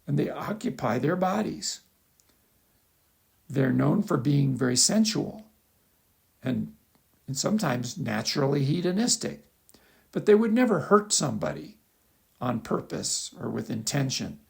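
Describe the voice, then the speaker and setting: Man, mid-pitched, very gravelly vocal fry, author narration, lecture style, measured and explanatory, opinionated asides. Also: a little mellow. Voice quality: gravelly, creaky. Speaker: author reading own article.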